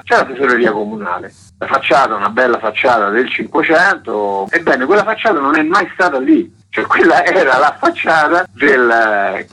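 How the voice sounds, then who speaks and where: A man's voice: mid-range voice, bright, forceful tone, slightly rough. A man, an author being interviewed on radio, explaining things with enthusiasm and emphasis over a tinny link. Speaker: interviewed author and researcher of Rome's monuments.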